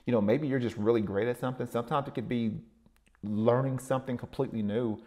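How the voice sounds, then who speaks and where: A man's voice: medium pitch, fairly even intonation, fast, darkish tone. A man hosting a podcast, giving a solo motivational talk in a rambling way, with many fillers and repeated words. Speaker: podcast host.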